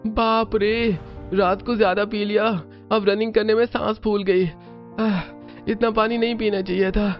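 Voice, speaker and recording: A spoiled teenage boy from a cartoon, pitched far up into a shrill whine. He is bossy and quick to fret. The audio is a little muffled, with music underneath. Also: level tone. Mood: cocky, bossy. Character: whiny, bullying teenage boy, spoiled son.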